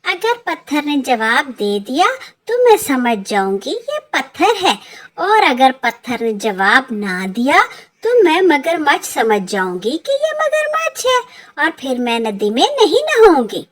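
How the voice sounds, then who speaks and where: A tiny female cartoon bird with an extremely high, childlike pitch. She talks slowly and deliberately, a clever little helper in a storybook tale. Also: huge pitch swings, exaggerated voice. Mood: cunning.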